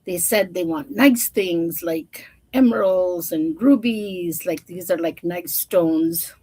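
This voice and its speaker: A woman's voice: lowish voice, slow, darkish tone. A woman storyteller reading a children's picture book aloud, with informal, chatty asides to her listeners.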